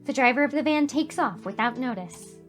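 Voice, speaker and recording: A woman with a high voice reads a game's story aloud as host. Her delivery is expressive and reactive, moving between narration and commentary over faint music. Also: fairly quick. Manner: emotive, reactive. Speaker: gaming video host, reading narration aloud. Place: voice-over on gameplay with game music behind.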